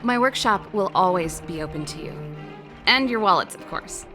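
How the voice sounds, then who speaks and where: An actress playing a sly, money-minded workshop owner in a video game, friendly with a coy, teasing manner. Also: female voice, medium pitch, lively intonation, moderate pace, over music, close to an everyday voice. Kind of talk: English video game voice acting.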